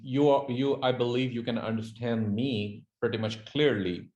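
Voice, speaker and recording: A man's voice: mid-range voice, steady tone, moderate pace, darkish tone, dull audio. Hesitant Pakistani man in an echoey room. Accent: Pakistani English. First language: Urdu. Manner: hesitant, searching for words with many fillers. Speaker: host. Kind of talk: casual business interview.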